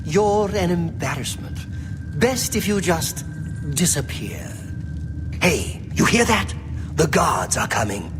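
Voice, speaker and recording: A taunting prisoner in a fantasy game. The mockery is very slow and drawling, with lively pitch. It echoes in long reverb over music. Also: male voice. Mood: mocking. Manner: drawling, mocking. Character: sneering, taunting fellow prisoner. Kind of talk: fantasy video game acting.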